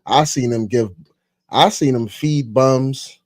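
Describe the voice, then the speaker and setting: Male co-host, mid pitch, dry sound, quiet and off-axis, slangy. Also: slow, no room sound. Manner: soft-spoken, often off the mic, slangy. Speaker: podcast co-host. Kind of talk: casual chat. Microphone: off-axis studio mic.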